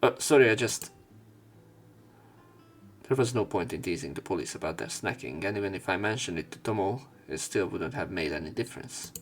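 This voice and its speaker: A man's voice: deep voice, animated, a little fast, faint music behind. A man reading visual novel text aloud as a let's play narrator, sometimes stumbling and rereading lines.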